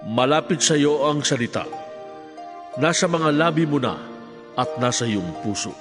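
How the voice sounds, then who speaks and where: A man's voice: fairly high pitch, lively intonation, unhurried, over music. A man playing a solemn, declamatory voice of prophets and God in a dramatized Bible reading.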